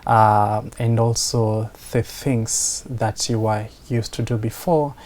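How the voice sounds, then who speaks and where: A man hosting an interview show, speaking in a measured, hesitant way with many pauses. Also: fairly low pitch, fairly even intonation, a little mellow, a little hiss or hum. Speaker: host.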